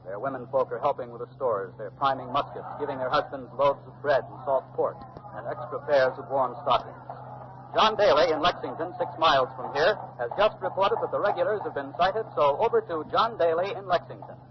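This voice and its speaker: A man's voice: mid-range voice, brisk, lightly acted. Actor as radio newsman over crowd noise. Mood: businesslike. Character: male radio news correspondent reporting colonial preparations. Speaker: news correspondent handing over to colleague. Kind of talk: 1940s radio docudrama news report. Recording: dull old radio recording, crowd noise.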